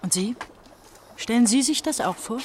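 A woman's voice: fairly high pitch, lively intonation, normal speed, darkish tone, slight background noise, natural voice. An actress playing an exhausted, frightened young woman on the run in a radio drama, speaking in a fragile, intense way. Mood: probing. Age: young adult. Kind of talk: German radio drama acting.

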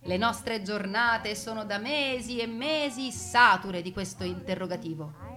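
A woman narrates a scripted story in a theatrical way. Her delivery is deliberate and emphatic, with a mock-solemn gravity suited to stage storytelling. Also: mid-range voice, sharp tone.

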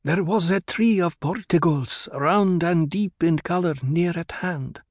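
Desert tribeswoman for audiobook, male narrator: grave, ceremonial, incantatory, pitched up. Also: a little slow, no room sound, clean studio sound, lightly acted. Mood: wistful, ceremonial.

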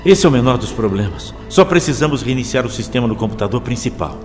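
A man's voice: medium pitch, lively intonation, quick pace, natural voice. Guy doing a serious careful sci-fi guide, echoing like a big hall, some music under it. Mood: cautious. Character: serious, cautious male guide, dryly fatalistic. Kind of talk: scripted audio drama acting. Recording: long reverb under music.

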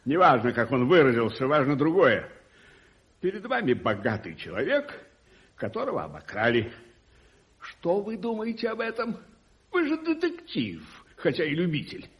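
An actor playing an imperious, hot-tempered wealthy English lord in a radio play, blustering and emphatic. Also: male voice, on the high side, wildly swooping pitch, moderate pace, breathy edge, dull audio, exaggerated voice. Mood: indignant. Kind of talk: 1980s Soviet radio play acting.

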